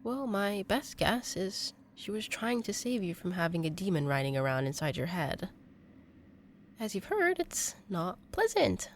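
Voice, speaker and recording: An actress playing an earnest young female exorcist in an audio drama, halting and emotional. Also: mid-range voice, very animated, a little hiss or hum. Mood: explaining. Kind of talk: intimate audio drama acting.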